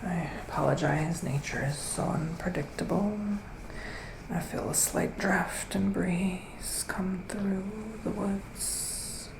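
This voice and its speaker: A man playing a gentle psychic witch in a soft ASMR roleplay, partly whispering, with hesitant, drawn-out phrasing. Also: high-pitched, fairly even intonation, heavily acted. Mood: soothing, pensive. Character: gentle male witch giving psychic tarot readings. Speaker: ASMR performer. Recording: roomy echo, clear background noise.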